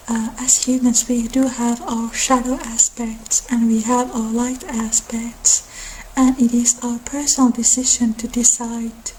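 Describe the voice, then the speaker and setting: A woman who is not a native English speaker, giving a soft ASMR-style informal lecture in a halting way, as if explaining lore on her own channel. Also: on the high side, a little hiss or hum, rough recording. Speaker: host of a mythology channel.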